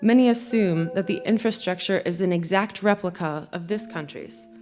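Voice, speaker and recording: A young American woman giving a measured, earnest spoken essay from a theater stage, as music plays behind her. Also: on the low side, darkish tone. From United States. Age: young adult. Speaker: violinist and speaker.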